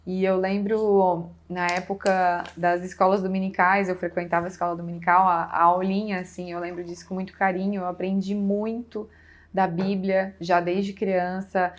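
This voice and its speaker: A woman's voice: lowish voice, level tone, moderate pace. A woman pastor with a southern Brazilian accent, giving an informal personal testimony as a guest, with frequent conversational check-in tags.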